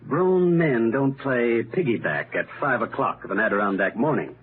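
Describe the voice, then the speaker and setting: An American actor playing a confident, brilliant amateur detective in 1930s radio mystery style, explaining things in a deliberate, methodical, emphatic way. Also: male voice, mid-range voice, animated, slightly rough. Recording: noisy, narrow-band 1930s radio recording.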